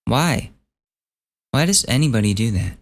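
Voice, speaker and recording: A man hosting a solo podcast, losing his voice and hoarse, casually reading out gossip items and swearing as he thinks aloud. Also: mid-range voice, lively intonation, a little slow. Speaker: podcast host.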